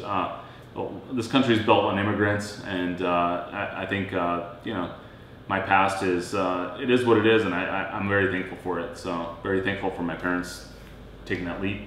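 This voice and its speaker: A man in his thirties from Serbia, co-hosting a casual chat in a relaxed, rambling way. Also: a little fast. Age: 30s. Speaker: co-host. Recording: very echoey room, faint rumble.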